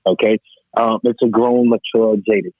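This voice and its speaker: A man's voice: on the low side, fairly even intonation, moderate pace, no echo. A man talking as a DJ on a casual hip-hop discussion panel, emphatic and repeating words to drive his point home. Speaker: DJ and podcast panelist. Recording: thin, phone-like call audio.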